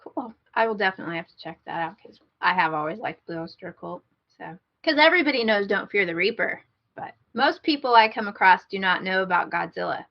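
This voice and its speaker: A woman with a medium-pitched voice chats casually as a podcast co-host. Her manner is informal, talkative and wry. The recording is a little dull, like an internet call. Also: expressive, fairly quick, gravelly.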